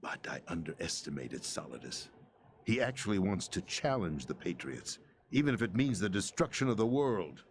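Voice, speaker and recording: An actor playing a disillusioned middle-aged president in a video game cutscene, speaking gravely and deliberately with theatrical weight. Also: male voice, sits low, sing-song, slight background noise. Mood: grave, explanatory. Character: disillusioned middle-aged male president, bitter political pawn.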